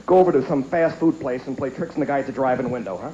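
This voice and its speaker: A man's voice: medium pitch, racing, very noisy. A man performing a standup comedy routine, emphatic and stretching out his punch lines. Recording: dull lo-fi echoey archive TV recording, music.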